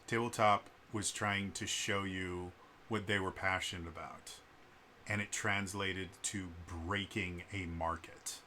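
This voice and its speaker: A man's voice: low voice, steady tone, slow, bright, forceful tone, a little hiss or hum. Earnest man with a stutter. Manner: earnest, frequent fillers and restarts. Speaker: vlogger. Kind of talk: unscripted vlog monologue.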